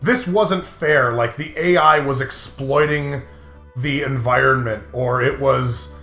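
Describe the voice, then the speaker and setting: A man chats casually over a video game, rambling and swearing as he explains things at length. His mid-pitched voice sits in a roomy echo, with faint game music behind it. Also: slow pace, sharp tone. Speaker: co-host of a gaming playthrough show.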